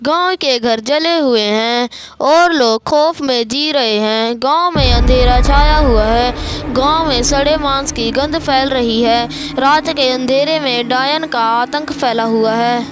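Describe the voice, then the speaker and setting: A woman's voice: high-pitched, slow pace. A woman narrator reading a scripted horror story. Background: music.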